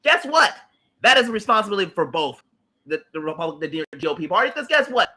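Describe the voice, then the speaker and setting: A man's voice: sits high, big pitch swings, fast, sharp tone, breathy edge. A man, hosting a livestream and ranting about politics in a heated, emphatic, repetitive way with blunt swearing. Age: late 30s. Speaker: livestream host.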